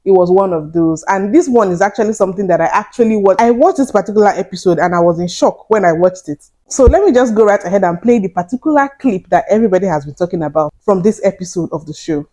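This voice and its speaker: A young woman vlogger giving casual, animated commentary straight to her viewers, often incredulous. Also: mid-range voice, quick pace. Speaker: reaction vlogger.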